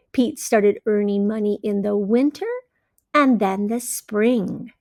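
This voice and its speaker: Female children's storyteller, high voice, very unhurried read-aloud delivery, close dry sound. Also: vocal fry, mellow, no echo. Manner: deliberate, simply phrased delivery for young children. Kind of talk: children's picture-book read-aloud with questions to listeners.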